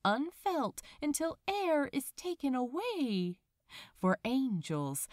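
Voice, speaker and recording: Woman, audiobook-style fiction narrator, slow-paced, expressive storytelling, animated pitch, dry sound. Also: no echo. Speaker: podfic narrator. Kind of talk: scripted fiction narration, read aloud.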